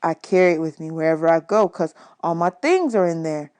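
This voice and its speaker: A woman's voice: sits low, animated, a little fast, a little mellow, no room sound. A woman voicing an earnest, polite boy of about ten in a storybook.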